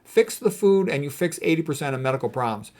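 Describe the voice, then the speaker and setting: A man's voice: on the high side, lively intonation, fast, a little mellow. A man talks off the cuff as a doctor advising on health. He is blunt and opinionated, and he drives his points home by repeating key phrases. His commentary is informal and emphatic. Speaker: doctor giving health advice. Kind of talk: informal commentary on read quotes.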